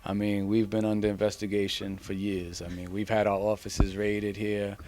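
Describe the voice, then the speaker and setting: A man, a graffiti artist, answering in a plain-spoken, steady way. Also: on the low side, normal speed. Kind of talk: casual interview answers.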